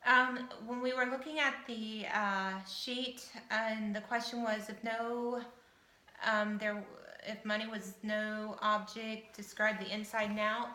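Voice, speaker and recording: A woman's voice: on the high side, little pitch movement, takes it slowly, bright, forceful tone. An American woman of fifty chatting casually and informally, as if introducing herself for an audition. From Southern Ohio, United States. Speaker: house-hunting show applicant. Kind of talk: casual interview-style chat to camera. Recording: echoey room.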